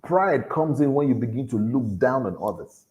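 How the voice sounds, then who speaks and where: A man with a deep voice, giving an earnest motivational talk to young people and repeating his key lines for emphasis. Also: expressive, moderate pace, a little mellow, muffled recording. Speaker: motivational speaker at a youth event.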